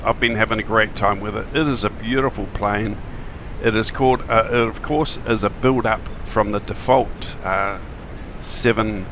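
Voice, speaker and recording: Middle-aged kiwi guy, croaky gravelly morning voice, bright tone, chatty and full of ums. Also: fairly low pitch, a little hiss or hum. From New Zealand. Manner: chatty, friendly, many fillers and restarts. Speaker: flight sim streamer. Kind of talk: casual live-stream chat.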